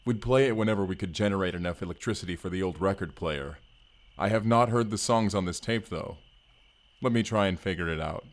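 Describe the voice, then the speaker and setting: An actor playing a hulking, earnest, gentle barbarian man in an audio roleplay, speaking formally and haltingly. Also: low-pitched, natural voice. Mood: delighted. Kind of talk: first-person audio roleplay acting.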